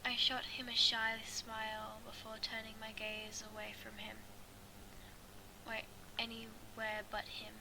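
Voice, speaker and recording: High-voiced woman reading haltingly, noisy recording. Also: completely flat delivery. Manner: halting, stumbling over words and rereading. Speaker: fan fiction reader. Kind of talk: amateur story read-aloud. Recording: clearly noisy amateur recording.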